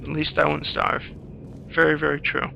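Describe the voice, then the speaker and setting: A man's voice: a little high, monotone, normal speed, vocal fry, highs cut off, music in the background. A man giving casual playthrough commentary over a video game, repeating words as he reacts to the play. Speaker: gamer and screenwriter commentator. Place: recorded over gameplay with music.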